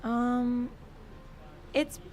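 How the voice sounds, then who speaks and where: A woman's voice: a little high, level tone, very slow, a little mellow, a little hiss or hum. A young woman from New York City, chatting casually as an interview guest, filling her talk with "like" and laughing easily. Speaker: guest artist.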